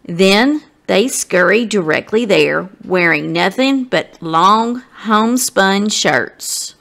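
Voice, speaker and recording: Slow, animated woman reading a storybook. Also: medium pitch, gravelly. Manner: deliberate phrasing, addressing young listeners directly. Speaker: guest story reader. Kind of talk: expressive children's storybook narration.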